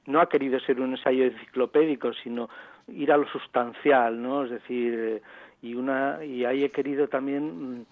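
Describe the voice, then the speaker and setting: A man with an accent from Spain answering as an author in a radio interview, explaining in a halting, repetitive and digressive way. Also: medium pitch, steady tone, dry recording, phone-quality sound. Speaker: author interviewed about own book.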